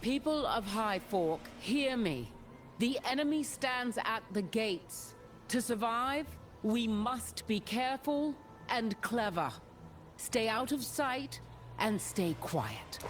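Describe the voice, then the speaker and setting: A woman's voice: on the high side, expressive, slow pace, slight background noise. A woman voicing a grave, commanding heroic warrior in a video game. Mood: rousing.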